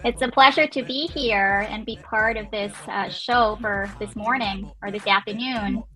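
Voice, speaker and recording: A woman's voice: mid-range voice, measured pace, fairly bright tone, faint music behind, poor audio. A woman in her thirties from the Philippines, a nurse and business owner, talking as a guest in a casual interview with many fillers and restarts.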